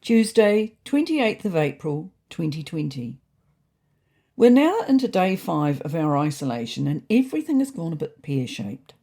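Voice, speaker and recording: A woman's voice: sits low, very animated, normal speed, warm tone. A woman storyteller reading a scripted comic first-person story in a dry, mock-serious manner.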